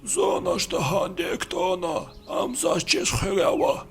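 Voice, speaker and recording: A man voicing a creaky, drawling male character in a dubbed cartoon. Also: high voice, very animated, slow pace, a bit bright, faint music behind, full character voice. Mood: pleading.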